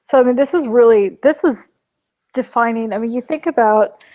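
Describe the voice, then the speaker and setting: A woman's voice: on the high side, normal speed, warm tone, low-quality recording. A woman hosting an interview, asking questions and reflecting sympathetically. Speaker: interview host. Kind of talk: casual interview talk.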